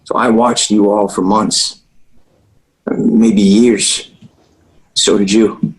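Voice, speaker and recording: A man's voice: fairly low pitch, little pitch movement, extremely slow, low-quality recording. A man acting a determined, protective teenage boy at a script table read, in an earnest, understated way. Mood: grave, resigned.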